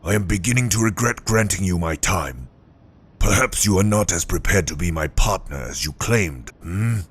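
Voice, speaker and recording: A man playing a stern, proud ninja clan warlord and villain, with a gravelly voice and formal, haughty delivery. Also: deep voice, animated, normal speed. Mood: cold disdain. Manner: formal, haughty, clipped disdain. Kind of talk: audio drama villain acting.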